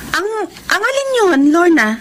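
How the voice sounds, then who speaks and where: A woman's voice: very high voice, sing-song, a little slow, a little hiss or hum, heavily acted. An actress playing a principled, feisty young female schoolteacher in a Filipino radio drama. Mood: puzzled.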